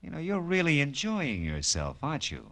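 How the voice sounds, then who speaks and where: An actor playing a wry, tough private detective who narrates an old radio crime drama. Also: male voice, fairly high pitch, huge pitch swings, normal speed, creaky, pushed and bright, full character voice. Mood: wry, sardonic. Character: wry, hard-boiled male private detective, tough and brisk. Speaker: lead and narrator. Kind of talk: 1940s-50s hard-boiled radio drama acting. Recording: old radio broadcast with music.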